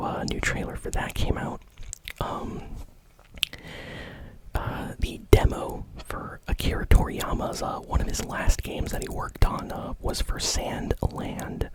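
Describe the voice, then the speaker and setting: A man whispering casual, conversational ASMR gaming news commentary in a breathy, unvoiced voice. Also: poor audio.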